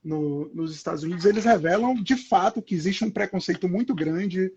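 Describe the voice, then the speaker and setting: A man's voice: sits high, brisk, darkish tone, breathy edge, lo-fi sound. A Brazilian man hosting a live interview, asking long, hesitant questions full of fillers. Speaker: interviewer, professor. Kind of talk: casual interview over a live video call.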